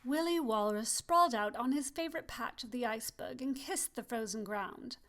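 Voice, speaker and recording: A woman reading a children's picture book aloud, expressive and slowing down on key phrases. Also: on the high side. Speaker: storybook reader. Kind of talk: children's story read-aloud.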